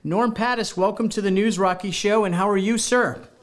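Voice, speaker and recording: A man's voice: high-pitched, steady tone, normal speed. A man hosting a local television talk show in a studio, enthusiastic and rambling, with many fillers and restarts.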